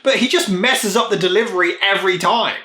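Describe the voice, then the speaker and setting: A man's voice: high-pitched, brisk, sharp tone, reverberant. A man delivering a scripted video review as host, in a sarcastic, snide and blunt manner.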